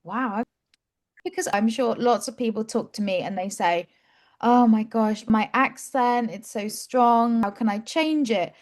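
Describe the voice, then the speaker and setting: A woman's voice: fairly high pitch, measured pace, darkish tone. A woman hosting an interview about learning English, asking questions and encouraging her guest, often saying "wow".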